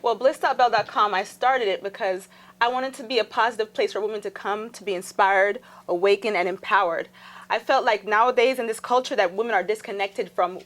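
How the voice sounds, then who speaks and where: A woman's voice: mid-range voice, steady tone, brisk, a bit bright. A woman guest on a talk show, explaining and giving advice in a casual, earnest way with some fillers. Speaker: guest on social media.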